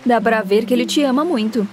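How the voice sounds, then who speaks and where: A polite, deferential young castle maid speaks to her princess. Her voice sits a little high. Music plays behind. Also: very animated, moderate pace. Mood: curious. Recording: cartoon dub with music behind.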